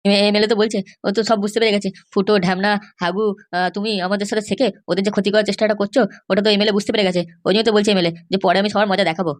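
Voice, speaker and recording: A woman's voice: medium pitch, fairly even intonation, rapid-fire, voice pushed down, close to an everyday voice. A woman voicing a worried, forlorn cartoon husband who whines helplessly about his missing wife. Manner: whining, helpless. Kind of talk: exaggerated cartoon dialogue.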